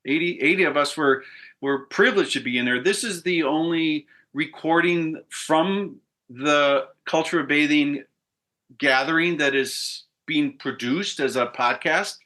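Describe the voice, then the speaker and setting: Male moderator, fairly high bright voice, chatty rambling questions. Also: measured pace. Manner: rambling, upbeat questions with frequent restarts. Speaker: podcast host and panel moderator. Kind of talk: moderating a panel discussion.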